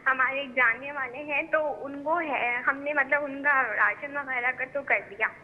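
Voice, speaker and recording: Woman caller, high voice, hesitant, thin phone line w hiss. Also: fairly even intonation. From Karachi, Pakistan. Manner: hesitant, halting. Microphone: telephone.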